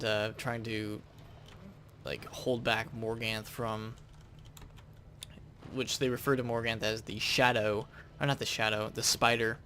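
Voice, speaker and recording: A man's voice: medium pitch, a bit bright, a little hiss or hum. A man hosting a gaming video, chatting casually and thinking aloud as he comments on his play.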